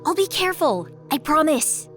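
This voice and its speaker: A woman playing an eager, excitable female robot researcher in an audio drama, talking informally. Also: sits high, normal speed, soft background music. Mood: eager, delighted.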